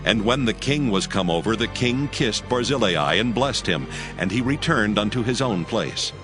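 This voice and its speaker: A man narrates scripture in a low voice with widely moving intonation. His scripted delivery is measured and solemn, with music playing softly underneath. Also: expressive.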